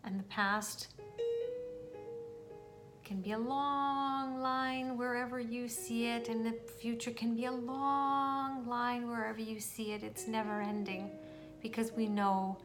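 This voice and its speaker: A woman guides a meditation at a slow, unhurried pace. Her delivery is soothing and deliberate, leaving long gaps between phrases, with music clearly playing underneath. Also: a little high, fairly even intonation. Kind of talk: guided meditation, spoken instruction.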